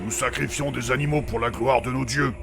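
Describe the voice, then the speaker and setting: A man playing a brutish, impatient, bloodthirsty adventurer in a comedic fantasy audio drama, with a gruff, crude, slangy way of talking. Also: medium pitch, fairly even intonation, fairly quick, lightly acted. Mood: casually bloodthirsty. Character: brutish, impatient, bloodthirsty adventurer who wants to bash everything. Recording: music behind the voice.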